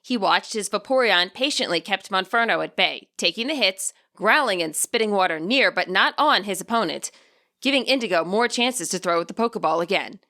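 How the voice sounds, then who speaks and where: A woman reading a fan fiction story aloud as scripted, expressive narration. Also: medium pitch, fairly quick.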